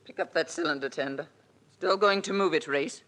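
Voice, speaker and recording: A woman's voice: sits low, brisk, a bit bright. An actress playing a hard-boiled, scheming middle-aged female crook in old-time radio style, blunt and commanding.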